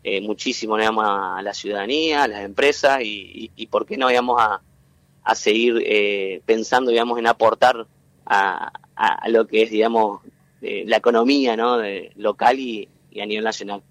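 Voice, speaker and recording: Halting argentine man from entre ríos. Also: lowish voice, fairly even intonation, a bit bright, dry recording. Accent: Entre Ríos Argentine Spanish. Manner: halting, many fillers and restarts. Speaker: guest, tech cluster president. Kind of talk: interview, explaining at length.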